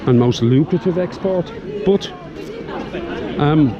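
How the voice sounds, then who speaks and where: A man's voice: fairly high pitch, slow pace, lo-fi sound. A man with a Dublin Irish accent giving a casual, enthusiastic walking tour of his city for a vlog, often tagging phrases with "yeah". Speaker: vlogger, tour guide. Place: busy outdoor city shopping street. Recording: outdoor street with crowd chatter.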